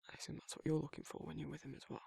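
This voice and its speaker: Man playing a husky, gravelly, hesitant werewolf lover. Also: a little high, little pitch movement, darkish tone, dry recording, studio quality, heavily acted. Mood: earnest, reassuring. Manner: hesitant, halting, rambling, informal. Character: guarded, soft-hearted male werewolf shyly confessing affection. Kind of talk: intimate ASMR roleplay monologue.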